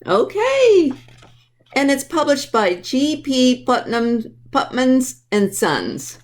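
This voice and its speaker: Slow-paced woman reading for children. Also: sits high, big pitch swings. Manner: explanatory, talks directly to young listeners with simple questions. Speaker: library storytime reader. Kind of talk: reading a picture book aloud to children.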